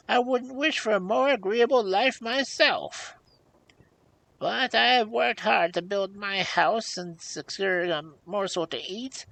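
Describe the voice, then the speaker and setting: A woman's voice: on the high side, gravelly, pushed and bright, voice pushed up, heavily acted, rough recording. A woman voicing a miserly old hag in a storybook, grumbling, sarcastic and mocking as she haggles. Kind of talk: storybook character voice.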